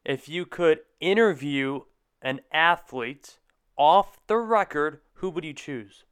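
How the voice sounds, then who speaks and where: A man's voice: fairly high pitch, lively intonation, slow, fairly bright tone, smooth. A young man hosting a podcast, asking his guest questions in a casual conversational style. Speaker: podcast host, interviewer.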